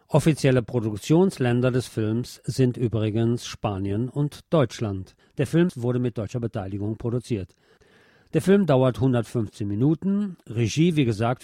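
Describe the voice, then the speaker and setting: Mellow-voiced male film critic. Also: medium pitch, no room sound, clean studio sound. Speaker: radio show host reviewing films. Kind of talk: film review, semi-scripted presentation.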